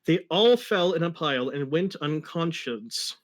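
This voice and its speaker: A man's voice: a little high, animated, unhurried. A man giving a comic dramatic reading of a fan fiction story, with wry asides.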